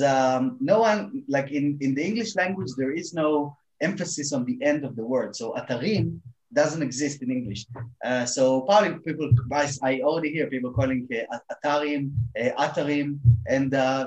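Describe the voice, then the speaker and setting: A man's voice: medium pitch, roomy sound, poor audio. A man whose first language is Hebrew, a guest on a panel, opinionated and full of fillers and restarts. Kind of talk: casual panel discussion.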